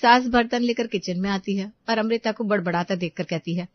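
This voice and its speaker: A woman storyteller delivers scripted narration of a domestic tale. Her pitch is average, and the sound is very dry and a little dull. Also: brisk, dry recording.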